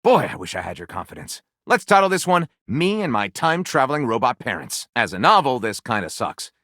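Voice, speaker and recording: An actor playing a theatrical, book-loving storyteller in a video game, gushing and snarky, with dramatic, mock-grand delivery. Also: male voice, medium pitch, a little fast, dry recording, studio quality. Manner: dramatic narration with mock-grand flourishes and excited exclamations. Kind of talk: video game character voice acting.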